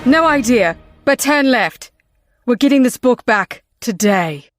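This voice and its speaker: A restless, wry woman who has lived very long and hunts down lost books. Her voice is high and swings expressively. She speaks with brisk, matter-of-fact confidence in a full-cast audio drama, over music. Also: expressive, dry recording, studio quality. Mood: excited, urgent.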